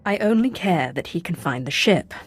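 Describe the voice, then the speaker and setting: An actress playing a commanding, curt female dark sorcerer lord in a video game. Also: lowish voice, animated, moderate pace, husky, soft background music. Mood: cool, commanding. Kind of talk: game voice acting.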